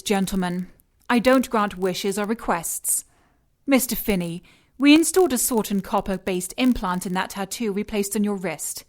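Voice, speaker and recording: A woman's voice: mid-range voice, lightly acted. Woman playing a cool, commanding villainess. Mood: cool, condescending. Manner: composed, precise, condescending. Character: cool, commanding female villain boss, dryly mocking. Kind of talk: audio drama acting.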